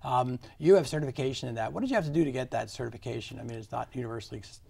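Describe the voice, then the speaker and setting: Gravelly male host, halting questions. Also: mid-range voice, darkish tone. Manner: halting, many restarts and fillers. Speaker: talk show host. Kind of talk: interviewing a guest.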